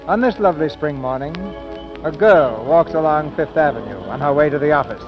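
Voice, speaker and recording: A man's voice: on the high side, animated, brisk. A man announcing and narrating in a polished, theatrical 1940s radio style. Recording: dull old radio recording. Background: clear background music.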